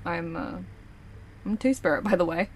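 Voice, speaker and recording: A woman's voice: lowish voice, moderate pace, breathy edge. A woman playing a guarded, dryly teasing staffer at a secret facility in an audio drama, with casual, clipped delivery. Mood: candid, teasing. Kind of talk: audio fiction acting.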